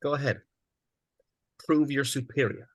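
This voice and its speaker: A man's voice: medium pitch, fairly even intonation, a little slow, no echo, lightly acted. A man playing a smug, commanding villain who goads and taunts in a screenplay read. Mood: cold, goading. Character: smug, commanding male villain goading others to kill. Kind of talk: dramatic screenplay table read.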